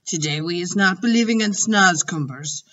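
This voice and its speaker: A woman's voice: fairly low pitch, normal speed, bright, forceful tone. A woman reading aloud as a kindly male giant who mixes up his words and speaks grandly, in a storybook. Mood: teasing. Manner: jumbled grammar, grand and emphatic. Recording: dull distant recording.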